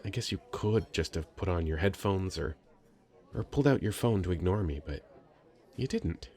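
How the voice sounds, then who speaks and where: Man playing a bashful young male nerd, deep soft voice, halting stutters, sweet and earnest, intimate ASMR roleplay. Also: expressive. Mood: earnest, grateful. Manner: hesitant, stammering, soft-spoken, earnest. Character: very shy, sweet young nerdy male writer. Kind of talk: ASMR roleplay, addressing the listener.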